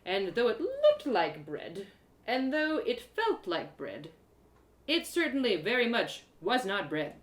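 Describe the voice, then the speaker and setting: A woman narrating a first-person adventure story in an expressive, theatrical way with dramatic pauses. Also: a little high, roomy sound. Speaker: storyteller performing own one-woman audio drama.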